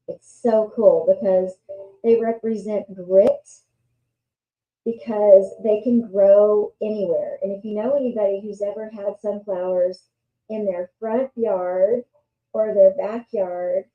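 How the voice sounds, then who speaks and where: A middle-aged woman from West Texas with a West Texas accent, hosting a casual faith talk in a chatty, rambling, earnest way. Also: mid-range voice. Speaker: host. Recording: echoey room, dull lo-fi sound.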